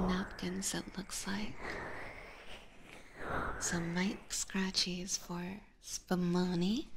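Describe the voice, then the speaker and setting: Woman, breathy whisper, slow pace, casual ASMR stream chat, close binaural mic, faint background noise. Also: mid-range voice. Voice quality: breathy, mostly unvoiced whisper. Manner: informal, chatty, addressing viewers directly. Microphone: close binaural ASMR microphone.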